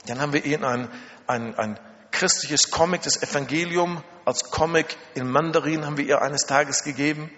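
A man's voice: a little high, a bit bright. A middle-aged man from Germany preaching a sermon, telling personal stories emphatically with dramatic repetition and rhetorical questions. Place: large reverberant hall. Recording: muffled, long hall reverb.

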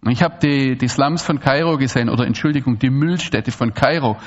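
A man from Swabia, giving an animated, digressive talk to an audience with frequent restarts and asides. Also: mid-range voice, fairly quick, highs cut off. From Swabia, Germany. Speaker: speaker giving a public talk. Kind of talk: lecture to an audience.

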